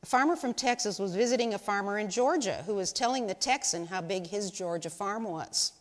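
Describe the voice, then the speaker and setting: A woman's voice: mid-range voice, lively intonation, a little fast. Older woman speaking in a church. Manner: chatty, folksy, asks the audience questions. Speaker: lay speaker at women's service. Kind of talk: informal church talk to a congregation. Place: large church sanctuary. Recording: long hall reverb in sanctuary.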